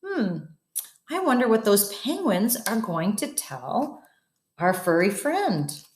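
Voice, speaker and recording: A woman's voice: mid-range voice, slow pace, echoey room, a little hiss or hum. A woman reading a bedtime story aloud to children, expressively, with wondering asides to her young listeners. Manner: addresses children, expressive, asks wondering questions. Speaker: guest bedtime story reader.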